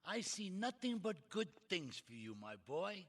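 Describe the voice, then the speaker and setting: Bright-voiced, animated old Mafia don. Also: male voice, high-pitched, measured pace, full character voice. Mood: effusive, fatherly. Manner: effusive, bossy, theatrical. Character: older male mafia don, expansive, domineering family patriarch. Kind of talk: video game cutscene acting.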